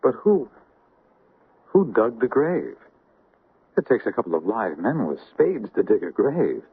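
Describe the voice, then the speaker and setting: A deep-voiced, fatherly skeptic in an old radio mystery. He reasons patiently with lively swings of pitch, on a muffled, hissy recording. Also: a little slow, slightly rough, exaggerated voice. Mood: shocked, puzzled. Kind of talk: 1970s radio drama acting.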